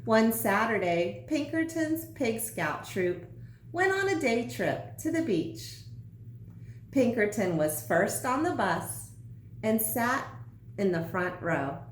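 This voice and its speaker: A woman teacher reading a children's story aloud with deliberate, emphatic phrasing. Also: fairly high pitch, big pitch swings. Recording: roomy echo, some background noise.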